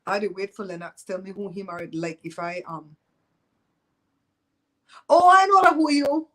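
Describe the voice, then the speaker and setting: A woman's voice: medium pitch, expressive, moderate pace, a bit bright. A woman in her fifties from Belize with a Belizean Creole accent, hosting a live show and chatting bluntly and gossipily with viewers, laughing often. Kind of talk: casual chat with live viewers.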